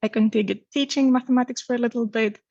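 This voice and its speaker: A woman's voice: a little high, steady tone, fast talker, mellow, dry recording, lo-fi sound. A woman from Greece with a Greek accent, telling her own story conversationally as an interview guest. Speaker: podcast guest, academic researcher.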